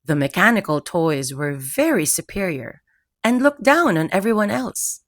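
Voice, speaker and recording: A woman narrating a scripted children's bedtime story in a gentle, measured, soothing manner. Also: lowish voice, very animated. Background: music.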